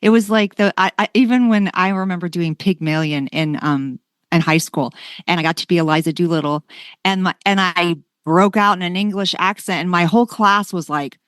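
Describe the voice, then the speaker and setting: A woman's voice: fairly low pitch, normal speed, fairly bright tone, smooth, no room sound, studio quality. A woman hosting a podcast in casual chat, effusive and often repeating herself for emphasis. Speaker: podcast host, former teacher.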